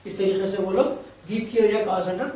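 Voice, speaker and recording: A man with a high, mellow voice patiently explains careers in long, winding sentences. He sounds set back from the mic in a very echoey room. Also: moderate pace, recorded from a distance. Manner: long explanatory sentences, Urdu-flavoured Hindi with English terms. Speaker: career guidance expert. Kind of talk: explanatory interview answers.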